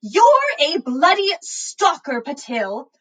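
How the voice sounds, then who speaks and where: A woman voicing a furious, indignant student in a story reading, yelling with drawn-out, emphatic words. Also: high-pitched, very animated, voice pushed up, heavily acted.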